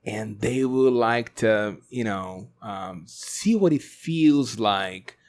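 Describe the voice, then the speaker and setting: A man's voice: mid-range voice, expressive, slow pace, gravelly. A man whose first language is Portuguese, hosting a podcast, asking hesitant, encouraging questions. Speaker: podcast host. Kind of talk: casual interview chat.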